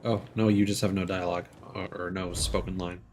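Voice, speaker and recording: A man's voice: sits low, level tone, fairly quick, a little hiss or hum. A man streaming a game, thinking aloud in loose, rambling commentary full of "like"s. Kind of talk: casual live-stream commentary.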